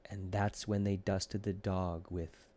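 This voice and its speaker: A man narrating a rhyming children's storybook in an expressive, deliberate storytelling way. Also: low-pitched. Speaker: audiobook reader.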